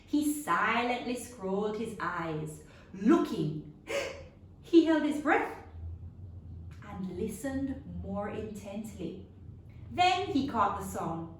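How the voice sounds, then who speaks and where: Female children's storyteller, slow and theatrical delivery, heavily echoing room. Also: mid-range voice, a little hiss or hum. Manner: expressive, dramatic, with drawn-out sound effects and questions to listeners. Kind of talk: animated read-aloud storytelling for young children. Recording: very echoey room, distant mic.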